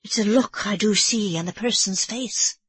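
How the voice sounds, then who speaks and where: A woman's voice: medium pitch, normal speed, fairly bright tone, husky, no echo, pitched above the performer's own voice. A woman voicing an anxious elderly housekeeper woman with an Irish brogue, apologetic and deferential. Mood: hesitant. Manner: apologetic, deferential, sir-ing constantly.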